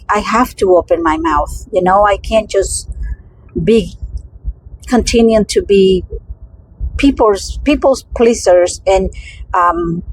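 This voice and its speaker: A woman's voice: mid-range voice, a little mellow, slight background noise, low-quality recording. Rambling woman with a Spanish accent. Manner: rambling, many fillers and restarts. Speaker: vlogger. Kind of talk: casual personal vlog.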